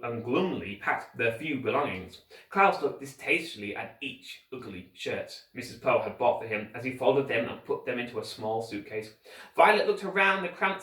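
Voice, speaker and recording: A man reading a children's story aloud like a teacher, with expressive, deliberate scripted narration. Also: medium pitch. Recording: roomy echo, distant microphone.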